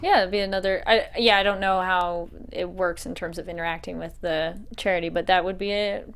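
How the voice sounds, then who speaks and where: A woman's voice: lowish voice, steady tone, fairly quick, fairly bright tone, clear, resonant tone. A woman hosting an interview, asking questions in a friendly, chatty way and backing the guest up with small agreements. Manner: friendly, chatty, laughs often, backchannels with mm-hmm. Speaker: interview host.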